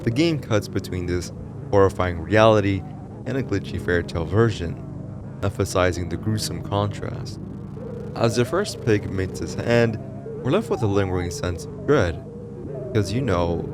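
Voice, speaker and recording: A man's voice: sits low, moderate pace, background noise. A man reading scripted narration for a horror story explainer in a dramatic, ominous storytelling manner. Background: music behind the voice.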